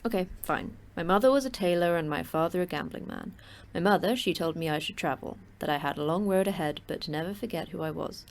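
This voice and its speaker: A woman's voice: on the low side, quick pace, vocal fry, few pauses. A woman playing the terse, dry-witted manager of a secret facility in a sci-fi horror audio drama.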